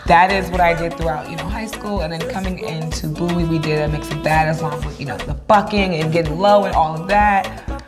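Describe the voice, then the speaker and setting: A woman's voice: low voice, fairly quick, music in the background. A young woman, a dance instructor, telling her story in a casual interview, upbeat and chatty with frequent fillers. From United States, raised by Ghanaian parents.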